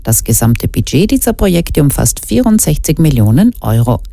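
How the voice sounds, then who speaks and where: Female radio news anchor, very deep mellow voice, scripted bulletin delivery, dry close sound. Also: very animated, moderate pace, creaky, no room sound.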